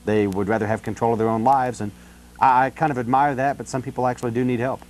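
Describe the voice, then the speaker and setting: An American man in a casual phone conversation, talking in a halting, rambling way with frequent "you know". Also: mid-range voice, steady tone, darkish tone. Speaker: city council candidate on a phone call. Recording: telephone call.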